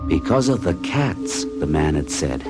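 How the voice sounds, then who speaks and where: A man's voice: a bass voice, sing-song, measured pace, loud music behind the voice, exaggerated voice. An actor playing a young man who narrates his strange experience in a radio drama, earnest and hesitant. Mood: recounting. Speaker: narrator and patient.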